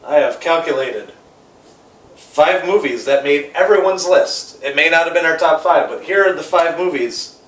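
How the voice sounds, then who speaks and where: Male panelist, slightly high voice, halting and opinionated, casual swearing, recorded in an echoey room. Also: a bit bright. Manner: halting, repetitive, profane. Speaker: panelist on a film ranking show. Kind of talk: casual opinionated group debate. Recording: echoey room, distant mic, faint noise.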